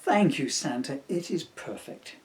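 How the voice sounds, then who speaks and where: A man's voice: on the high side, expressive, a little slow. A man voicing a sweet, polite, clever young girl in a children's storybook, speaking earnestly. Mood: polite, delighted.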